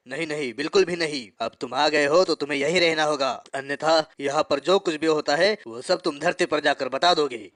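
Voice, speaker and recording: A man's voice: a little high, a little fast, hardly any pauses, a bit bright, dry recording, close to an everyday voice. A man voicing a brusque, bureaucratic afterlife official in a cartoon, firm and officious. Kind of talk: cartoon voice acting.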